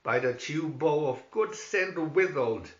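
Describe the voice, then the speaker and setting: A cocky, boastful young fighter from a storybook, played by a man. He talks back with widely swinging pitch and deliberate pacing, recorded in an echoey room. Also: expressive, dull audio. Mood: impressed. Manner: boastful, retorting.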